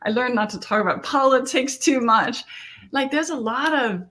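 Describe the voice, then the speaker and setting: A woman's voice: on the high side, animated, moderate pace, fairly bright tone. A middle-aged woman from Denmark, a therapist and coach speaking earnestly in an interview and often asking "right?". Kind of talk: conversational interview.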